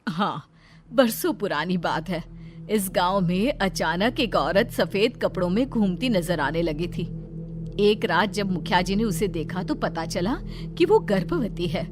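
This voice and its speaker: A woman's voice: on the low side, lively intonation, unhurried, noisy background. A woman playing a firm village aunt who explains things in an instructive way in a cartoon story. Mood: grave. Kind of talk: Hindi cartoon story acting.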